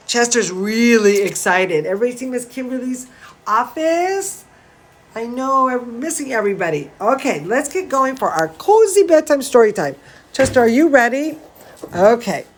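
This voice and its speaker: A woman reading a picture book aloud to young children, gushing and expressive, with chatty asides to her listeners. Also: fairly high pitch, moderate pace. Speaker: dance teacher hosting a children's story time.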